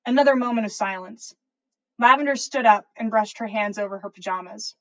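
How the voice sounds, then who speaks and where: A woman reading fan fiction aloud as a podcast host, in expressive first-person story narration. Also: mid-range voice, fairly quick, poor audio.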